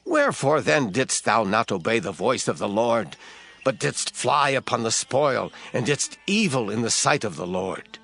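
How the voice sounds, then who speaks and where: A man's voice: medium pitch, animated, unhurried, vocal fry, a bit bright, heavily acted. A man playing a stern, righteous prophet in a dramatized scripture reading, solemn and declamatory. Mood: rebuking. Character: stern, righteous prophet delivering divine judgement.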